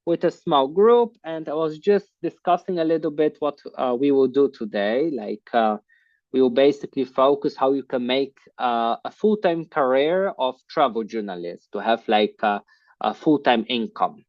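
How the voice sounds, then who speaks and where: A man hosting an online class on a video call, talking in a halting way with many fillers. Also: mid-range voice, no echo. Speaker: online course host. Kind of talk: casual online class talk. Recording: muffled video call audio.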